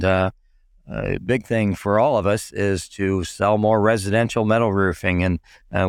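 A middle-aged man with a hoarse, raspy voice, hosting a casual podcast chat with many fillers. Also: low-pitched, no echo, clean studio sound. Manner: friendly, rambling with many fillers. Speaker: podcast host.

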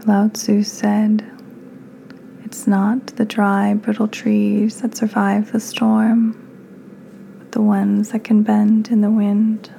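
A woman hosting a guided meditation in a soft, breathy, near-whispered voice, calm and soothing with unhurried pauses. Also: mid-range voice, slight background noise. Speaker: podcast host, meditation guide.

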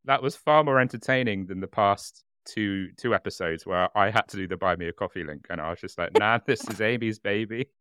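A man's voice: fairly low pitch, a little fast, few pauses, a bit bright, clear, resonant tone, no room sound, studio quality. A man hosting a podcast who laughs easily, chats casually and then reads out a case. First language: English. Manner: giggly, self-deprecating, reads narration. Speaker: podcast host. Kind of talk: casual chat and reading a case.

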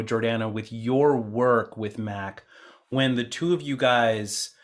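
A man acting as interviewer in a conversational discussion, informal and thinking aloud as he rephrases his questions. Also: mid-range voice. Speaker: interviewer, co-producer.

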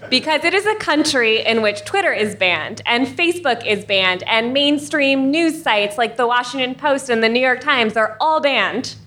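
A woman in her late twenties to thirties from the United States, telling a personal story on stage in an earnest, measured way with wry asides. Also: mid-range voice, a bit bright, slight background noise.

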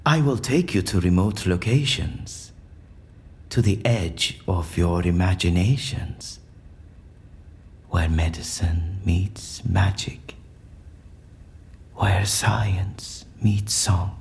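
Slow, gravelly, scheming captive. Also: male voice, low-pitched, exaggerated voice. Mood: hushed, mystical. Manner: slow, deliberate, mysterious and theatrical. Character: cunning, theatrical man captive bargaining with his secrets. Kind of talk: audio drama acting.

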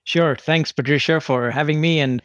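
A man's voice: on the high side, fairly even intonation, moderate pace, no echo, muffled recording. A man from India in his thirties, answering interview questions in a hesitant way with many restarts. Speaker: guest.

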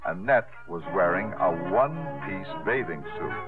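An actor as a wry, genial storyteller narrating a scripted 1950s radio drama. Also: male voice, low voice, animated, moderate pace, music in the background. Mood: wry, dramatic. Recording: muffled old radio recording.

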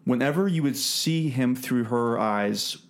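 Male podcast host, mid-pitched, casual film-review chat, conversational delivery. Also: a little slow.

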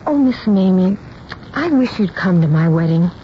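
An actress playing a sweet, considerate young bride-to-be in a radio drama, gentle and soothing in manner. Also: female voice, medium pitch, animated, normal speed, close to an everyday voice. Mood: pleased, hopeful. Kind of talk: 1970s radio drama acting. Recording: dull, noisy old radio broadcast recording.

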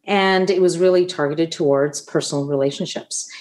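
A woman's voice: low voice, normal speed, darkish tone. A woman from Canada whose first language is Italian, guesting on a business podcast and explaining her ideas through anecdotes. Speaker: guest expert. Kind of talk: podcast interview.

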